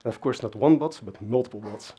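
A man's voice: mid-range voice, fast, dark tone, slightly rough. A man, giving a casual technical conference talk on a stage, full of fillers and asides. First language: Dutch. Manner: conversational, many fillers and asides. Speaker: conference speaker. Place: large dark conference stage.

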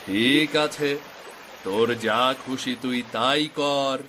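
A man's voice: medium pitch, takes it slowly, fairly bright tone, a little hiss or hum. A man voicing a practical, grumbling fisherman husband in a cartoon, mildly exasperated and dismissive. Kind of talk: cartoon voice acting.

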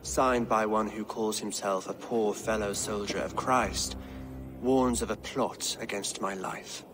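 A man's voice: fairly low pitch, steady tone, measured pace, music in the background, natural voice. A man playing a shrewd, pious Saxon king in a video game, speaking formally and with great deliberation. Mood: guarded, grave. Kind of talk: video game cutscene acting.

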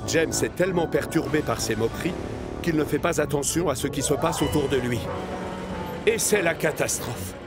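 A man's voice: a little high, normal speed, loud music behind the voice. A man narrating a scripted children's story.

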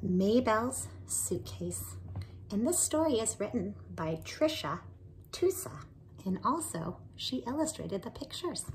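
Woman teacher, 40s, slow lively read-aloud on a phone camera at home. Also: on the high side, a little hiss or hum, low-quality recording. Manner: expressive, slow, talks to listeners as friends. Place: indoors, small room at home.

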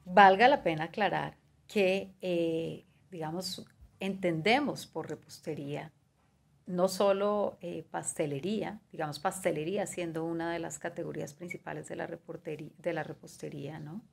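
A woman in her thirties speaks in a lowish voice with a gravelly fry, giving a measured, partly read talk. She works through long lists item by item and fills her pauses with short hesitations. She is heard over a webcam from a small room. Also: steady tone. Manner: measured, listing items, with fillers like "eh" and "digamos". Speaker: guest speaker on pastry and cuisine. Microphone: video call webcam.

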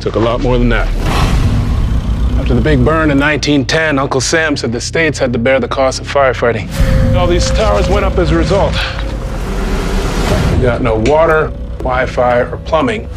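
An actor playing a plainspoken fire service boss in a film, instructing in a matter-of-fact way. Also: male voice, mid-range voice, normal speed, long pauses, close to an everyday voice. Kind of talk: film trailer drama dialogue. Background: loud music.

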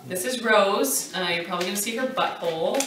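A woman in her thirties, co-hosting a sailing vlog at home, chatty and quick to laugh. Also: medium pitch, background noise. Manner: chatty, laughs easily, playful. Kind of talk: casual chat. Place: living room at home, indoors. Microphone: far camera. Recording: echoey room, mic at a distance.

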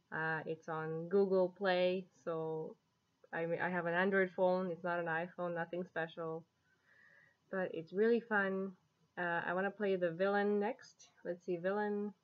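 A woman in her twenties chatting casually as a vlogger, recorded on a webcam at home. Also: on the low side. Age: 20s. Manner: giggly. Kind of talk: casual chatty app demo. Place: small room at home. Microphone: webcam at desk distance. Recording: dull lo-fi webcam sound.

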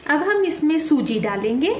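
Woman, cooking host, lively up-and-down voice, roomy echo, faint background hiss. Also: sits high, normal speed. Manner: addresses viewers as friends, calm explaining. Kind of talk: step-by-step cooking instructions. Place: home kitchen at the stove.

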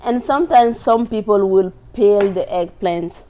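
Mellow West African woman teaching cooking. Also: medium pitch, unhurried, a little hiss or hum. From Burkina Faso. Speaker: cooking instructor. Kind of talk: live cooking demonstration. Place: large indoor teaching kitchen.

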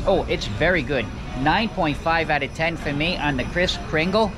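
An upbeat man in his forties hosts a food review vlog, chatting to his viewers. He speaks in a fairly high voice into a handheld camera on an outdoor patio. Music plays in the background. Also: moderate pace. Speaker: vlog host. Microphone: handheld camera at arm's length.

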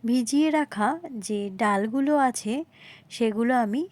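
A woman's voice: on the high side, a little slow, darkish tone. A woman narrating a home cooking recipe, explaining politely and repetitively and addressing viewers directly. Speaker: home cooking video host. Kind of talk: recipe narration, instructional voice-over.

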